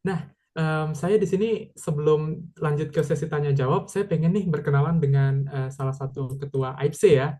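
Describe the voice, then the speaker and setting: A man's voice: a little high, steady tone, normal speed, hardly any pauses, warm tone. Male moderator, upbeat and polite, hosting a video call webinar.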